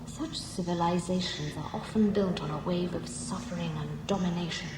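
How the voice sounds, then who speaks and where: A woman playing a cold, superior ship intelligence in a radio drama, speaking gravely and formally with stern judgement. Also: fairly low pitch, moderate pace, close to an everyday voice. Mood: grave disapproval. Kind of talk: 1980s radio science fiction drama acting. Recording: echoing, noisy old radio broadcast.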